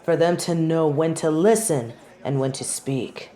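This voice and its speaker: Low-voiced haughty young female judge, acted by a woman. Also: unhurried, mellow. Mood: solemn, formal. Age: young adult. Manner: imperious, formal, theatrical declamation. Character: haughty young female tribunal judge, stern, sardonic, witchy. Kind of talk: dramatic audio roleplay acting.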